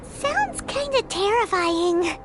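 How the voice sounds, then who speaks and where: A woman voicing a tiny childlike floating fairy companion in a video game, squeaky, cheeky and easily indignant. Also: very animated, slow pace, very noisy, exaggerated voice. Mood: wary. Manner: indignant, speaks of herself by name. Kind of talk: video game character dialogue. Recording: loud game music behind.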